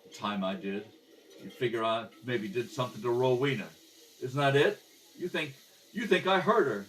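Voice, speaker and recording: A man playing a defensive, pleading murder suspect in a radio crime drama, protesting in rough slang. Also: mid-range voice, animated, moderate pace, a bit bright, slight background noise, pitched above the performer's own voice, lightly acted. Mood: agitated, defensive. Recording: slightly distant home microphone.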